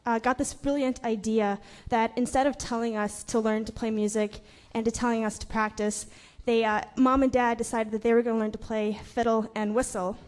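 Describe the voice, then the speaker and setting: A woman's voice: a little high, fairly even intonation, a little fast, hardly any pauses. A young woman in a family band chatting casually as an interview guest, giggly and peppering her talk with "you know". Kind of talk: casual onstage interview chat.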